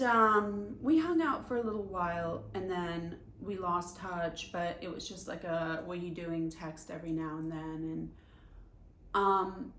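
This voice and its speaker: Woman, 40s, from Iran; unhurried, confiding vlog storyteller who restarts often, mid-pitched, in an echoey room. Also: fairly bright tone. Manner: halting, confiding, many restarts and fillers. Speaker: vlogger. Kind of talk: candid personal storytelling.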